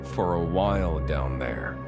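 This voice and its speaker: An actor playing a tough, blunt but loyal comrade in a video game cutscene. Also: male voice, sits low, slow pace. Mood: gruff concern. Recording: loud atmospheric music behind.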